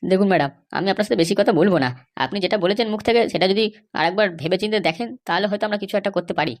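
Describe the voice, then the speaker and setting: A woman's voice: mid-range voice, very fast, close to an everyday voice. A woman voicing a stubborn, bribe-seeking police officer in a comedy cartoon, insistent, lecturing and dismissive. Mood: insinuating. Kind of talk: Bengali comedy cartoon dialogue.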